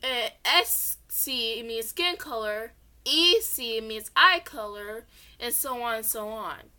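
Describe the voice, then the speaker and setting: A woman's voice: on the high side, takes it slowly, sharp tone. A young woman casually reading her own story aloud, rambling into informal, self-deprecating asides. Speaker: amateur story narrator. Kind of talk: casual chatty read-along of own story.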